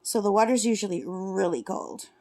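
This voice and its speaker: A woman's voice: mid-range voice, steady tone, normal speed, a little mellow. A woman in her fifties chatting casually in a rambling, self-correcting way, vlogging from her lakeside cabin while she crafts. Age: 50s. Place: cabin at a lake.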